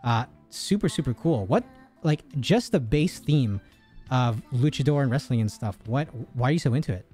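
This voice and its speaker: A man's voice: medium pitch, expressive, normal speed, warm tone, faint music behind. Laid-back, slangy young male host. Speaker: interviewer, streamer. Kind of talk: casual interview chat.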